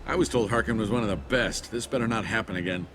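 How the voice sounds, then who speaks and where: A man's voice: on the low side, quick pace, over music, close to an everyday voice. A man playing a guilt-ridden, evasive traitor in a video game, with an edgy, haunted manner. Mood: wary, irritated. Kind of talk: video game dialogue acting.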